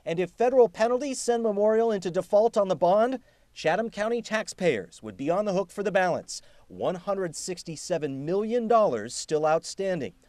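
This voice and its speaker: A man reading a scripted investigative television news report with emphatic, deliberate phrasing. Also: high-pitched, lively intonation, a little mellow, no echo.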